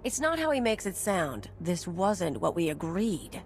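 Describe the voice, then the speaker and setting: A woman's voice: lowish voice, very animated, measured pace, a little hiss or hum. An actress playing a cynical, tough, self-serving mercenary woman in a video game, with a blunt, wry, streetwise delivery. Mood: angry.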